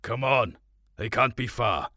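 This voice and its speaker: A man voicing a gruff, blunt, determined middle-aged farmer father in an audio story. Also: mid-range voice, lively intonation, measured pace, sharp tone, dry recording, studio quality. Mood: encouraging.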